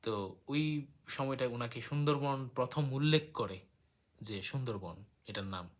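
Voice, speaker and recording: A man explaining things informally in a discussion, hesitant and agreeable, with frequent filler pauses. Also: mid-range voice. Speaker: talk participant.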